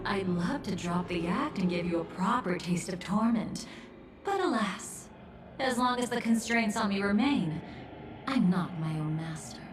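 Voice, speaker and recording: A woman acting a slow, echoey, taunting villainess. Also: mid-range voice, lively intonation, lightly acted. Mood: mock regretful, teasing. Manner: teasing, savoring, softly menacing, drawn-out delivery. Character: taunting, obsessive, sly villainess, a memory manipulator. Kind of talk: theatrical video game voice acting. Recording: echoey, music underneath. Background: game music.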